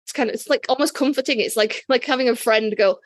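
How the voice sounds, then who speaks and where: A woman's voice: a little high, quick pace, no room sound. A woman co-hosting a podcast, talking casually and enthusiastically, with light swearing. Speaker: podcast co-host.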